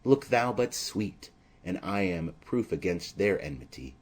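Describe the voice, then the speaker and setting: A man voicing an ardent, bold, lovestruck young nobleman in earnest, measured Shakespearean verse. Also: sits low, sing-song, heavily acted. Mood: ardent, defiant.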